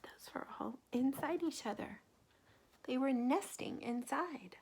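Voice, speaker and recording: A woman reading a picture book aloud to children like a teacher, gently pausing to ask questions and point things out. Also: sits high, expressive, a little hiss or hum.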